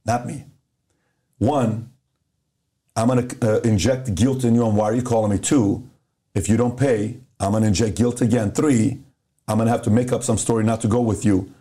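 Middle-aged man from Iran, relaxed warm voice, casual podcast guest who plays out both sides of a story. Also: lowish voice, normal speed. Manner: animated storyteller who acts out dialogue.

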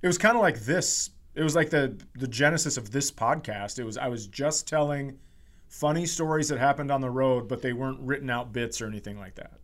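A man's voice: medium pitch, brisk, a bit bright. A man hosting a podcast in loose, joking, sometimes profane banter. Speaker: podcast host.

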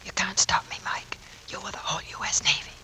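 A woman's voice: fairly quick, bright, forceful tone, whispered, lightly acted. A woman playing a stubborn, devoted young Navy nurse in a 1940s radio drama, earnest and insistent. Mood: hushed, pleading. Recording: noisy 1940s radio broadcast recording.

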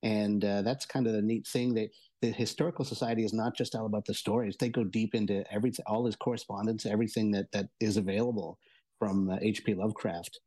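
A man giving a casual review as a show contributor, explaining haltingly and often doubling back on words. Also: lowish voice, steady tone, mellow. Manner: halting, hesitant, repeats words. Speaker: audio entertainment and tech contributor.

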